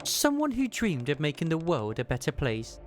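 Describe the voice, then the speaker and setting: A man voicing an ancient, knowing woman from a video game, who recalls things fondly in measured, formal speech. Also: a little high, huge pitch swings, faint music behind. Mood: explanatory. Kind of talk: read-aloud video game dialogue.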